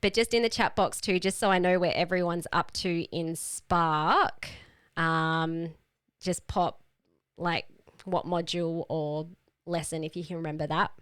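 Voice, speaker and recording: A woman's voice: fairly low pitch, fairly even intonation, unhurried, fairly bright tone, clean tone, no room sound, studio quality. A woman leading a live online group call as a health coach, teaching in a casual, explanatory and emphatic way.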